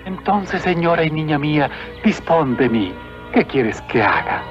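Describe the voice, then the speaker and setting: A devout, humble peasant man speaks earnestly and high. The old cartoon soundtrack is dull, with clear music underneath. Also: very animated, unhurried. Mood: reverent. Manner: deferential, earnest. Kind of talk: dubbed cartoon acting.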